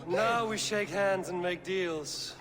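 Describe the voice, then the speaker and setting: An actor playing a stern, pragmatic Viking warrior in a video game, terse and disapproving. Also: male voice, high-pitched, slow. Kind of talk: video game cutscene acting. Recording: game scene with clear background noise.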